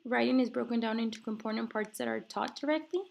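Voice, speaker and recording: A woman's voice: on the high side, fast, darkish tone, slight background noise. A woman presenting a textbook chapter, reading from slides and explaining them casually with many fillers, while recovering from an illness and coughing now and then. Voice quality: gravelly fry. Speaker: student presenter.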